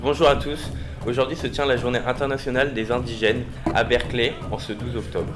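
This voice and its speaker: A man's voice: medium pitch, little pitch movement, fairly quick, music in the background. Teen boy reading a school radio script. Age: teenager. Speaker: student presenter.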